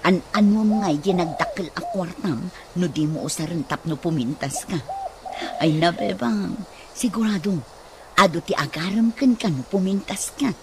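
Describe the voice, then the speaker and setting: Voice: mid-range voice, normal speed, lightly acted. An actor playing a chatty, coaxing friend with an Ilocano accent in a radio comedy drama. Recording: background noise with bird ambience.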